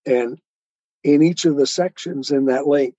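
A mellow, husky-voiced man answers as a guest in a conversational interview. The sound is dry and a little muffled and lo-fi. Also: fairly high pitch, a little slow, no echo. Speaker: podcast guest, author and poet.

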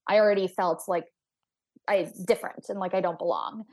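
A woman's voice: fairly low pitch, very animated, brisk, a little mellow. A young adult woman from Texas, an astrophysicist speaking as an interview guest in a chatty, candid and reflective way. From United States (Texas). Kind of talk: podcast interview conversation.

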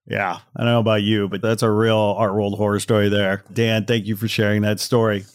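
A man with a fairly low voice hosts a podcast, recorded close and dry. He comments casually and wryly, addressing listeners directly as if in conversation. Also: flat delivery, a little fast, dry recording. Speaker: podcast host.

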